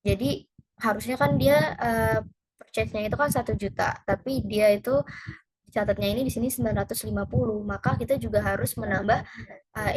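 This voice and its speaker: Slightly husky young woman explaining. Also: medium pitch, a little mellow, low-quality recording. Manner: hesitant, conversational, mixes in English terms. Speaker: presenter explaining an accounting lesson. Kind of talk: informal tutorial explanation.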